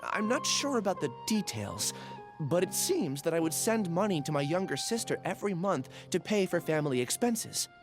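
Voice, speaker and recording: A man's voice: a little high, big pitch swings, moderate pace, over music. An actor playing a confused young male researcher with lost memories in a game, hesitant and apologetic. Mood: troubled. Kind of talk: game voice acting.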